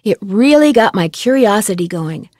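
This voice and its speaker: A woman playing a curious, upbeat young female detective in a video game, speaking clearly in a polite, friendly, inquisitive way. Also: medium pitch, animated, normal speed, a little mellow, no room sound, studio quality, lightly acted. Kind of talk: scripted video game voice acting.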